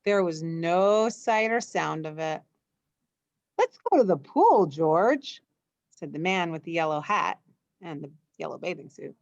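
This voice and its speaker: A woman reading a children's bedtime story aloud, putting expressive emphasis into the dialogue. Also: lowish voice, a little slow, vocal fry, no echo, dull audio.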